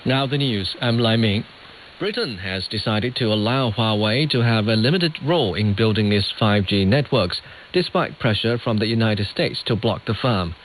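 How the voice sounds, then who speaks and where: Hissy shortwave broadcast, male newsreader. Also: on the low side. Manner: measured, formal delivery. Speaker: radio news anchor. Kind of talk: scripted news reading. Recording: hissy, noisy shortwave radio reception. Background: static hiss.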